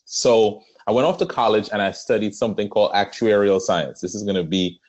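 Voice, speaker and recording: A man with a Trinidadian accent, a pastor telling his life story as a podcast guest, with many pauses. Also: on the low side, a little mellow. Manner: earnest storytelling with frequent "um" pauses. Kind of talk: conversational interview.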